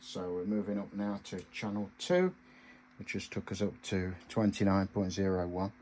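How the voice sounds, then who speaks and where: Man, fairly low voice, hesitant delivery, frequent pauses, casual hands-on demonstration. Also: darkish tone, a little hiss or hum. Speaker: host, amateur radio hobbyist.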